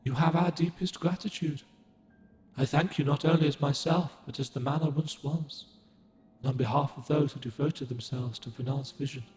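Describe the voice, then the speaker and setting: A man's voice: fairly high pitch, fairly even intonation, faint music behind, lightly acted. A man voicing a solemn echoing moon guardian. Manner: formal, archaic, gentle and measured. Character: solemn ancient male moon guardian spirit. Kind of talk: game cutscene dialogue.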